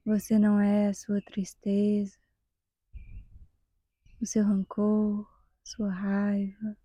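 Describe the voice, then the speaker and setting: Soft, very mellow Brazilian woman guiding meditation. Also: medium pitch, no echo. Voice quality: soft, breathy, half-whispered. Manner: calm, soothing, measured with long pauses. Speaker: guided meditation instructor. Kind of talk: guided meditation narration.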